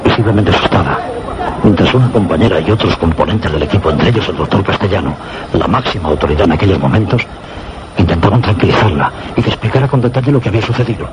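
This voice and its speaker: Casting: meteorologist narrator for suspense radio play, very low voice, Castilian accent, measured and formal, heavy noise. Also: male voice, expressive. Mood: ominous. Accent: Castilian Spanish. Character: male meteorologist narrator recounting mysterious frightening events. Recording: noisy old radio drama recording.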